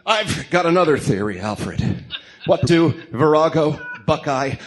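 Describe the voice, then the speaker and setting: Man acting as a grim, deliberate vigilante detective. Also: a little high, lively intonation. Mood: grim, determined. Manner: terse, serious, deliberate. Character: grim male masked vigilante detective, brooding and commanding. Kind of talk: amateur radio drama acting.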